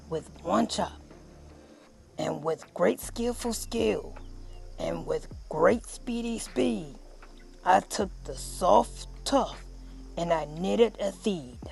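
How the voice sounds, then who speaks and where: A storybook reader narrating for children in a theatrical, deliberate way with drawn-out phrasing. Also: medium pitch, creaky. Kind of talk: dramatic children's read-aloud narration.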